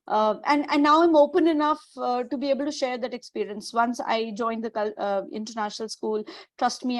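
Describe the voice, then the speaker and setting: A woman's voice: a little high, no echo. A woman teacher from India, speaking in a webinar with frequent hesitations and fillers. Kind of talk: webinar discussion.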